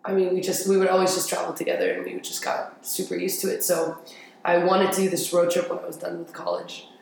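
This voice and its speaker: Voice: mid-range voice, fairly even intonation, brisk, vocal fry. A young adult from Paris telling stories casually as an interview guest, explaining things informally and often checking for agreement. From grew up in Paris, France. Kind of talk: casual conversational storytelling. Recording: very echoey room with faint noise.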